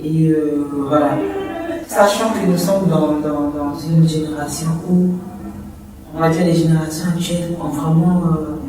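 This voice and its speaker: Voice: mid-range voice, fairly even intonation, a little slow, a little mellow, slight background noise. An interviewer asking long, winding questions full of fillers and repeated words. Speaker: interviewer, host. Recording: echoey, distant mic with music behind.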